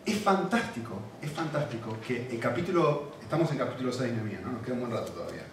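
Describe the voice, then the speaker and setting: A man with a Rioplatense Spanish accent preaching a sermon, animated and repetitive, often checking in with questions to the congregation. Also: mid-range voice, fast talker, background noise. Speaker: pastor. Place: church. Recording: very echoey hall, mic at a distance.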